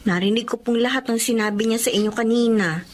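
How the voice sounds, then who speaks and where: A woman's voice: on the high side, fairly even intonation, fast, a little hiss or hum, lightly acted. An actress playing a young university student who earnestly reassures her mother.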